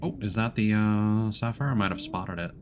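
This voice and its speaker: A man giving casual commentary while playing a co-op game, guiding a teammate and thinking aloud haltingly. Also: fairly low pitch, background noise. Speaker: streamer guiding a co-op player.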